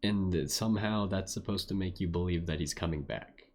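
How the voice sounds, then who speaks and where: Streamer commentary: low pitch, casual, dry, sceptical. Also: normal speed, darkish tone. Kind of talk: casual game playthrough commentary.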